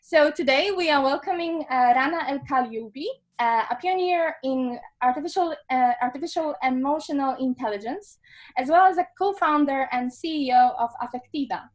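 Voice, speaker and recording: A woman's voice: high voice, normal speed. A woman hosting an interview, introducing her guest and asking questions with many hesitations. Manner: hesitant, many fillers and restarts. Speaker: interview host.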